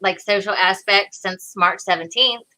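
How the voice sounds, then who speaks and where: A woman with a bright, sharp voice chats as a guest over a video call. Her talk is informal and halting, with a self-deprecating streak. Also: medium pitch, steady tone, poor audio. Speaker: guest performer on the show.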